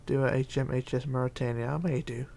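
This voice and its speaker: A man hosting a casual livestream, reading chat aloud and chatting in a rambling, halting way. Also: mid-range voice, steady tone, creaky, darkish tone. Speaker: Minecraft livestreamer.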